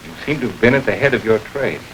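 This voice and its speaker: Actor playing a steady district attorney, radio hiss. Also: male voice, medium pitch, close to an everyday voice, poor audio. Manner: measured, businesslike. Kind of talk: 1940s radio acting. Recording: hissy old radio recording.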